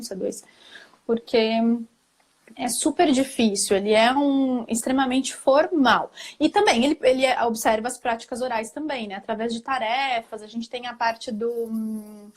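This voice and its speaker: A Brazilian woman teacher explaining things at length on a live video call, thoughtful, with many fillers and tag questions. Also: sits high. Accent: Brazilian Portuguese. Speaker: Portuguese language teacher. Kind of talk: explaining in a live online talk.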